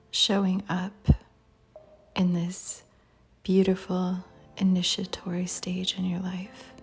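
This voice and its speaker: Female meditation narrator: low-leaning, near-whispered and soothing, unhurried with long pauses, faint music underneath. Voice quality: soft, breathy near-whisper. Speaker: meditation guide. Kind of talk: guided meditation. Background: soft music.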